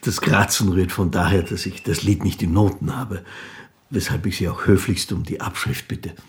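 A man's voice: deep voice, slightly rough. An actor playing a timid, courteous musician who tells his story in a hesitant, formal, literary way. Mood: shy, earnest. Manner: hesitant, halting, formally polite. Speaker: actor narrating as the title character. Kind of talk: literary radio drama, first-person narration and dialogue.

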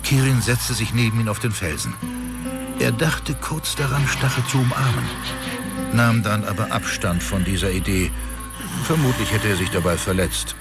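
A man's voice: fairly low pitch, expressive, moderate pace. A man narrating a radio play in a dramatic, scripted storytelling style. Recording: loud music behind the voice.

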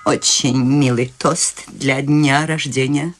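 An actress playing a serene, whimsical eighty-year-old woman in a radio play, talking in a calm, gently amused way. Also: a bass voice, slow, heavy background noise. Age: 80s.

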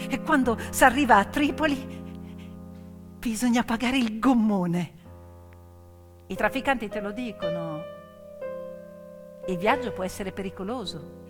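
A woman performing a dramatic theatrical monologue as a stage storyteller, intense and emphatic, in short suspenseful phrases. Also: mid-range voice. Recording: long hall reverb, piano music underneath. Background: piano accompaniment.

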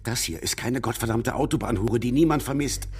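A man's voice: medium pitch, fairly quick, darkish tone, slightly rough. An actor playing a bitter, exasperated male accomplice who scolds bluntly and curses in a German radio crime drama. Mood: angry, fed up. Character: bitter, exasperated war-veteran accomplice, a gruff man.

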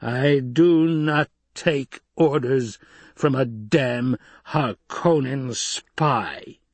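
A man doing a slow, surly drunken swordsman. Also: fairly high pitch, fairly bright tone, no room sound, pitched above the performer's own voice. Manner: slurred, drawn-out, mumbling. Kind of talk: audiobook character acting.

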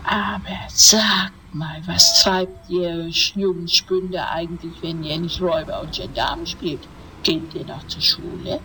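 A woman's voice: fairly low pitch, steady tone, a little slow, pushed and bright, low-quality recording. Woman acting a lonely, rambling old lady, faint noise. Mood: breathy, curious. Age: older. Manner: rambling, plaintive. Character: lonely, chatty, self-pitying old lady. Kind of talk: parody fan radio play acting.

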